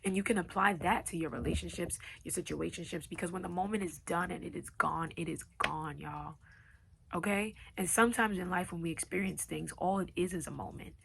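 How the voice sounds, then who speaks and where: A young woman from Long Island with a New York accent, a podcast host with a deep, raspy voice, talking to her listeners in an earnest, motivational way. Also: brisk, fairly bright tone, a little hiss or hum. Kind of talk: casual solo podcast talk.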